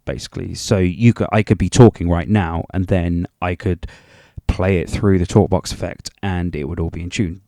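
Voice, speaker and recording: A man's voice: fairly low pitch, dark tone, no echo, studio quality. A man giving an informal music production tutorial in a rambling, chatty and self-deprecating way. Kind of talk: informal software tutorial narration.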